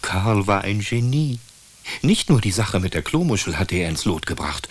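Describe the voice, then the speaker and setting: A man's voice: low-pitched, slightly rough. A man narrating a scripted children's story as the storyteller of a radio drama.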